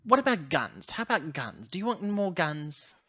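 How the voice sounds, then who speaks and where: A man's voice: fairly high pitch, very animated, moderate pace, sharp tone, breathy edge, dull audio. A man playing a tabletop game, talking casually as he roleplays with the group.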